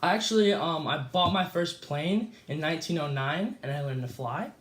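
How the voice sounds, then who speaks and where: A man's voice: fairly high pitch, animated, a bit bright. A young man acting as an easygoing, famous male escape artist who chats through a mock interview, with a slightly raised voice. He stumbles over words and says "uh" a lot. The room around him is echoey. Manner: casual, halting with fillers and slips.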